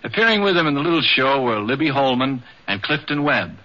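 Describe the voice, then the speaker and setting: A man narrates a radio biography in scripted 1950s broadcast style, at a medium pitch. The recording is dull and band-limited, like an old radio program. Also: big pitch swings, a little fast, sharp tone. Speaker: documentary narrator.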